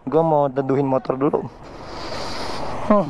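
A man's voice: mid-range voice, steady tone, a little slow, muffled recording, crowd noise. A man from Banjarmasin in Indonesia, chatting casually as a riding companion on a motovlog. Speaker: rider, guest on a motovlog. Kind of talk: casual chat. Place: outdoors on a motorbike and at petrol station. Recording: loud traffic and wind noise behind.

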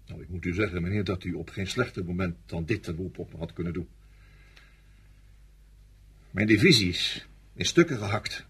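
An actor playing a weary French divisional general in a radio drama, gruff, sardonic and grumbling, yet deferential to his superior. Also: deep voice, expressive, normal speed, pauses often, heavily acted. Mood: weary, reluctant.

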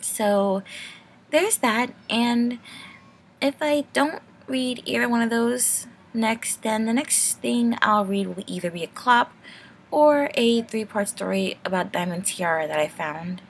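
A woman's voice: a little high, slow, a little hiss or hum. A young woman giving a casual, rambling channel update in a hesitant, apologetic, nervous way. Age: young adult.

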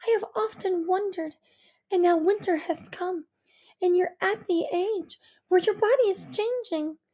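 A woman voicing a mother who speaks softly but fiercely to her daughter. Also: very high-pitched, unhurried, dull audio, pitched above the performer's own voice. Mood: tender. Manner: soft, earnest, emotional. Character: tender, fierce mother with a hushed voice.

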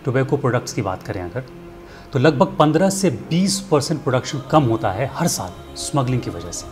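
A man's voice: medium pitch, big pitch swings, brisk. Earnest middle-aged man addressing camera. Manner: earnest, persuasive, rhetorical questions to viewer. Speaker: filmmaker and storyteller presenting a commentary segment. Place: indoor studio set. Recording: music bed underneath.

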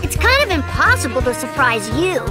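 A woman's voice: high-pitched, sing-song, brisk. A woman with an American accent voicing an earnest, curious young boy fox in a video game cutscene. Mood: curious, uneasy. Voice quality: youthful, childlike. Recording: music behind. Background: loud music.

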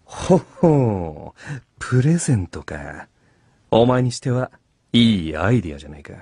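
Swaggering, animated beast-man mentor. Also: fairly low pitch, normal speed, no room sound, clean studio sound, heavily acted. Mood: smug, teasing. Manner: teasing, self-important, rough masculine speech. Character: roguish, swaggering older male beast hunter, a mentor. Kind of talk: Japanese anime radio drama acting.

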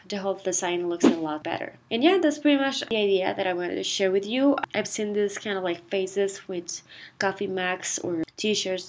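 Woman narrating a craft tutorial with a cold. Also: medium pitch. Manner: chatty, relaxed, lots of "like" and "you know". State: recovering from a cold. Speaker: DIY craft channel host. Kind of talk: casual voice-over tutorial. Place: voice-over, not recorded on camera. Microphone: not her usual voice-over mic.

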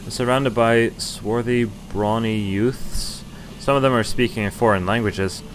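A man's voice: mid-range voice, steady tone, a little slow, slight background noise, poor audio. A young man streaming a visual novel, reading the narration aloud and cutting in with wry, exasperated asides. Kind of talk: casual reading with commentary.

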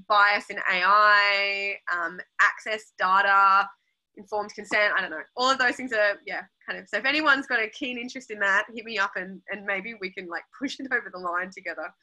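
A woman's voice: medium pitch, bright, forceful tone, rough recording. An Australian woman curator chatting casually on a video call, hesitant, with many restarts. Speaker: exhibition curator. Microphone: laptop video call.

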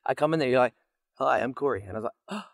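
A man's voice: medium pitch, lively intonation, rapid-fire, vocal fry, dry recording, clean studio sound. A man in his late twenties with a British accent, chatting casually as a podcast guest and telling rambling, joking stories. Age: late 20s. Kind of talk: casual chat.

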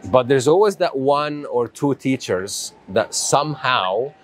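A middle-aged man hosts a live stream with an upbeat, motivational manner. His voice sits at an ordinary mid pitch. He talks into a small lapel mic in a compact indoor studio. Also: expressive, a little slow, a little hiss or hum. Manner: upbeat, motivational, thanks commenters. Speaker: host.

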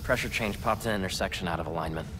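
A man's voice: low voice, fast talker, background noise, natural voice. A man playing an eager but cautious young scientist sidekick in a video game, earnest and careful. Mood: focused, careful. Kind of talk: naturalistic video game voice acting.

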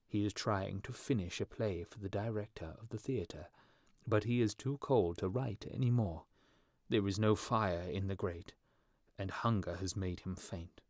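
A gentle statue prince who talks slowly and sorrowfully, put on by a man narrating. His pleading words come out soft and unhurried, and the sound is close and dry. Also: low voice, no echo. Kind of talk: storybook reading.

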